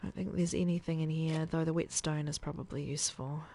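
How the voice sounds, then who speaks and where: Gravelly-voiced woman streamer chatting. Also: low-pitched, fairly even intonation, fast talker, a little hiss or hum. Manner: chatty, thinking aloud, soft asides. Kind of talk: casual gameplay commentary.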